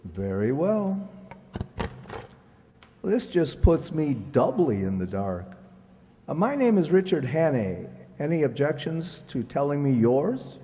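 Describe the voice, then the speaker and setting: A man playing a gallant, skeptical gentleman in a radio drama, speaking in a polite, wry and measured manner. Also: fairly high pitch, big pitch swings. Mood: puzzled, polite. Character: gallant, skeptical gentleman drawn into a spy intrigue. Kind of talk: old-time radio drama acting. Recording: reverberant stage-like radio play recording.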